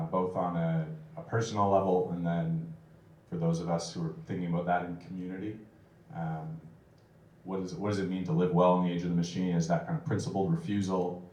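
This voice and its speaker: A man's voice: low-pitched, mellow. A man in the audience asking a long, tentative, rambling question at a lecture. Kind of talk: Q&A question from the floor. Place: lecture hall. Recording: roomy hall, distant mic.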